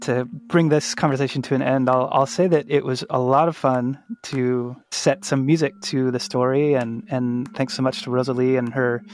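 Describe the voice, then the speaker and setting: A man's voice: mid-range voice, steady tone, normal speed, hardly any pauses, a little mellow. A man hosting a podcast in a reflective, casual conversational style. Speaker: podcast host.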